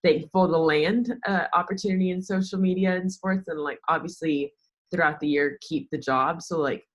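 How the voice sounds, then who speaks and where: Young American woman, slightly low voice, informal and chatty podcast guest, frequent "like" fillers. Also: normal speed. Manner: informal, chatty, heavy use of "like". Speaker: podcast guest, sports social media worker. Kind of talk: casual interview chat.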